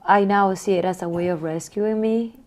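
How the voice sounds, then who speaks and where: A woman in her forties from Honduras, answering interview questions in a soft, reflective way with small repeats. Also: mid-range voice, steady tone, normal speed. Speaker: guest.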